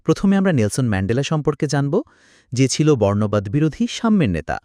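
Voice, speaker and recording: A man's voice: medium pitch, big pitch swings, fairly quick, dark tone, no echo, studio quality, lightly acted. A man voicing a clever young lamb who persuades with confidence in a cartoon. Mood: explanatory.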